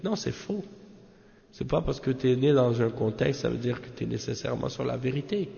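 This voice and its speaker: Gravelly male religious teacher in reverberant sound. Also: mid-range voice, normal speed, dark tone. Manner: didactic, repeats phrases for emphasis, rhetorical check-ins. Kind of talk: extemporaneous religious lesson explaining a commentary. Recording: muffled, long hall-like reverb.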